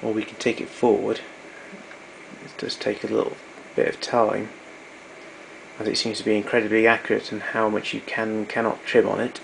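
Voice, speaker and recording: A man giving a measured, technical demonstration of a studio machine. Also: fairly low pitch, completely flat delivery, creaky, a bit bright, a little hiss or hum, rough recording. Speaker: equipment demonstrator. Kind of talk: explanatory voice-over demonstration.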